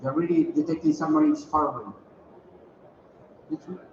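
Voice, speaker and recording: Chilean man, halting conference presenter; distant echoey hall, noisy dull audio. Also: a little high, steady tone. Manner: hesitant, repeats words while explaining slides. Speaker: sonar industry presenter.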